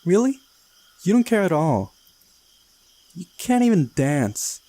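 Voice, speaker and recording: A man's voice: sits high, big pitch swings, takes it slowly, warm tone, pitched above the performer's own voice, full character voice. A man voicing a feisty, childlike girl in a visual novel, bossy, teasing and pouty. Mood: indignant.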